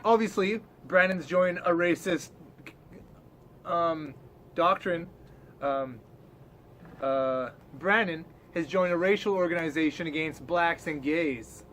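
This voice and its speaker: A man's voice: on the high side, takes it slowly, pauses often, a bit bright, a little hiss or hum. A man co-hosting a comedic news show in loose, profane banter with a mock-serious manner.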